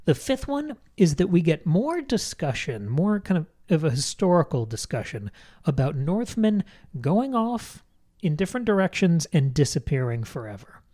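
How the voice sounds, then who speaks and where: Mellow-voiced man in analytical book discussion. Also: a little high, sing-song, moderate pace. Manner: articulate, explanatory. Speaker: podcast co-host.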